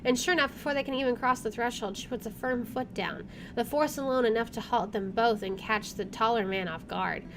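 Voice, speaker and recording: A woman's voice: fairly high pitch, fairly quick, few pauses, noisy background. A woman gaming streamer reading visual novel narration aloud and adding casual asides.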